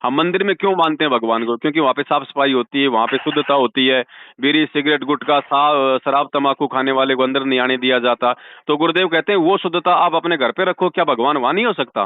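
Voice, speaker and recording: A man's voice: medium pitch, quick pace. A man giving religious counsel over the phone, speaking in an insistent, preachy way and leaning on devotional sayings. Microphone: telephone. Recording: tinny phone call recording.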